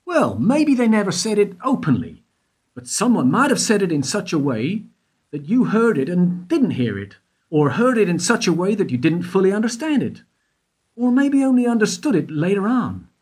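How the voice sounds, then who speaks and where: A man's voice: sits high, very animated, moderate pace, darkish tone, slightly rough, full character voice. A man playing a needling, probing detective. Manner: persistent, needling questioner. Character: probing male detective questioning a guard. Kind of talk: amateur radio play acting.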